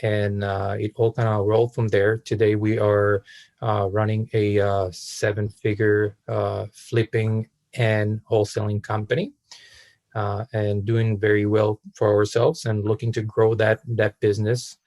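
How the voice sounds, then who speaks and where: An Israeli-accented man in his thirties talks as an interview guest in a lowish, fairly flat voice. He speaks casually, in short halting phrases broken by frequent pauses. The sound is dry and close, with no room echo. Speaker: podcast guest, real estate entrepreneur.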